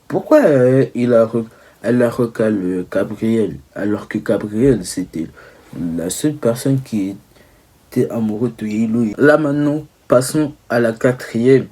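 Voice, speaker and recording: Young male vlogger, mid-pitched and mellow, casual teasing delivery with stumbles and self-corrections. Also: measured pace. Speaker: video creator. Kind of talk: casual humorous commentary.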